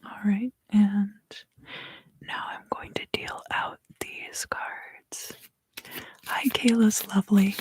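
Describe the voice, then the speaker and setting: A woman whispering a soothing ASMR tarot reading in a breathy, mostly unvoiced voice, taking her time. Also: mid-range voice, level tone, darkish tone. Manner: soothing, unhurried.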